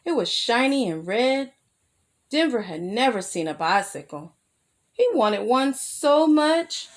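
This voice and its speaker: A woman reading a children's picture book aloud as a storyteller, expressive and careful, with asides to her young listeners. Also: fairly high pitch, slow pace. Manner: addresses young listeners with simple questions.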